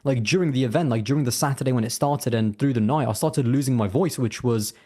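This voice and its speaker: Fast-talking man, chatty guest. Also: medium pitch, mellow. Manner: chatty, rambling with many restarts and fillers. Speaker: guest, racing content creator. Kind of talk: casual interview chat.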